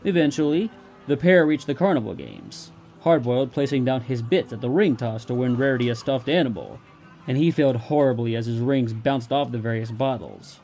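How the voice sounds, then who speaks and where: A male narrator reads a story in scripted prose. His voice is mellow and relaxed at a middling pitch, with faint music underneath. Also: a little fast.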